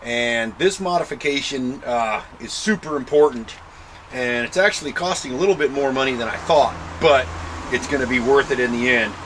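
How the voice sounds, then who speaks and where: An American man giving a casual, halting explainer to camera in an informal, thinking-aloud way. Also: medium pitch, a bit bright, a little hiss or hum. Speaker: vlogger showing a truck modification.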